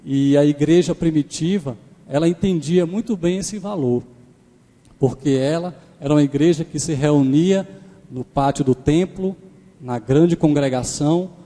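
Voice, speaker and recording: Male preacher, 30s, Pernambuco accent, mellow voice, reverberant church hall, handheld mic. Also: a little high. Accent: Pernambuco Portuguese mixed with Maranhão. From Pernambuco, Brazil. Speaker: guest preacher. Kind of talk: sermon. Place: large church sanctuary. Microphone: handheld microphone.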